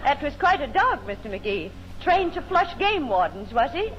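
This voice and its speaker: A woman's voice: high-pitched, sing-song, normal speed, lo-fi sound, over a noisy crowd, heavy background noise. An actress playing a prim, boastful society lady with formal diction in a 1940s radio comedy. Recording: old radio recording with loud audience.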